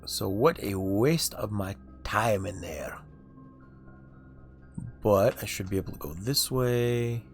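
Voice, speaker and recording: A man streams a game and talks it through in a relaxed, muttering way. His voice sits low and gravelly, with a fry on the ends of phrases. Soft game music runs behind him. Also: slow pace, pauses often. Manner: low-key, muttering to himself. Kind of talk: casual gameplay commentary.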